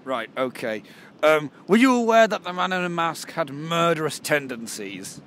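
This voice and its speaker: A man in his twenties acting as a flustered police detective, asking halting, repetitive questions in an improvised comedy film. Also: fairly high pitch, very animated, a bit bright. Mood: awkward questioning. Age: 20s. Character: flustered male police detective investigating murders. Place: outdoors by a rocky seafront. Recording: noisy outdoor camera audio.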